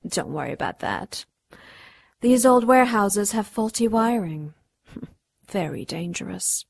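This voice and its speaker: A woman's voice: mid-range voice, lively intonation, a little slow, gravelly, a little mellow, slightly rough, dry recording, clean studio sound, exaggerated voice. A woman playing an imperious, seductive demon in a radio drama, haughty and menacing. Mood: silky, sly.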